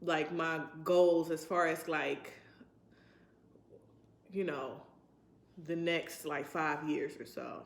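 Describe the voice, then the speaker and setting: A woman in her twenties chatting casually to her vlog audience in a rambling, reflective, informal way. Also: on the low side. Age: 20s. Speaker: vlogger. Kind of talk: casual chat to camera. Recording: roomy, echoey sound.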